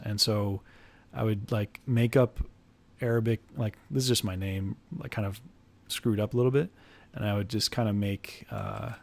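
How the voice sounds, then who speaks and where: A man with a low, gravelly voice answers viewer questions on a drawing stream. He talks loosely and haltingly, often restarting a phrase mid-thought. The sound is a close desk mic in a small home room. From Iraq, grew up in the United States. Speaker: art streamer. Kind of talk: casual livestream chat answering viewers. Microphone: headphones, desk mic.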